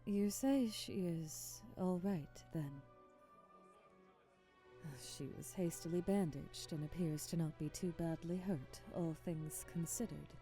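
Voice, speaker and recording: An imperious vampire aristocrat, played by a woman, speaks in a lowish, mellow voice at an unhurried pace. She is formal and theatrical, every bit the elegant, commanding mistress of an audio roleplay, with faint music behind her. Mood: controlled, commanding. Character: haughty aristocratic female vampire hostess, elegant, commanding, coldly protective. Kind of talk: scripted ASMR roleplay audio drama.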